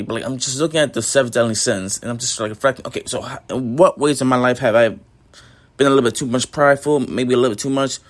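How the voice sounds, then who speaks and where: A young man with a slightly raspy voice hosts his own casual podcast, thinking out loud into a home mic. He rambles, restarts and leans on fillers, his pitch sitting in an ordinary middle range. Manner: rambling, filler-heavy, keeps saying "you know what I mean". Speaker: podcast host. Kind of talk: casual solo reflection.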